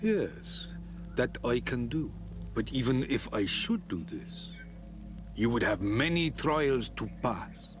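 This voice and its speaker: An actor playing a solemn, testing spiritual leader of an island people in a video game, speaking in a deliberate, ceremonious way. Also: male voice, mid-range voice, very animated, over music. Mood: solemn, measured. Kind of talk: video game dialogue acting.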